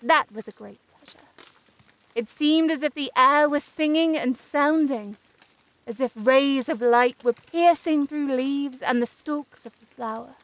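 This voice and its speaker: A woman narrating a fairy tale as an audiobook reader, expressive, careful and measured. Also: high-pitched.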